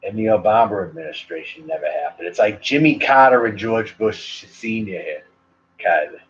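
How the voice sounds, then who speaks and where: A man's voice: fairly low pitch, animated, normal speed, slight background noise. Rambling man with a Maine accent. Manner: meandering, loose, full of "like" and "you know". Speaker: cigar reviewer and livestream host. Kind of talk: rambling casual livestream chat. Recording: dull lo-fi livestream audio.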